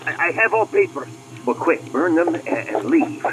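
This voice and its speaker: A man's voice: on the high side, animated, moderate pace, noisy background, lightly acted. A man playing a panicky, scolding spy on the run in a radio play. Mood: panicked.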